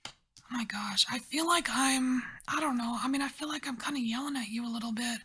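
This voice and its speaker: A woman giving a whispered tarot reading, chatty, rambling and encouraging, her voice breathy and hushed throughout. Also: on the high side, a little fast, a little hiss or hum. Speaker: tarot reader.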